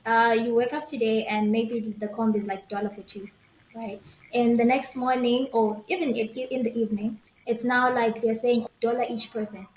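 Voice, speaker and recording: Young female guest, Zimbabwean accent, fairly high voice, casual storytelling, recorded in an echoey room. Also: fairly even intonation, moderate pace, darkish tone. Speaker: interview guest. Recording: echoey room with faint noise.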